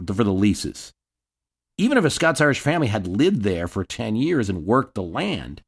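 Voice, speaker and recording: A man hosting a history podcast, telling the story in a scripted narration with wry asides. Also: fairly low pitch. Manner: storytelling, dramatic readings of quotes.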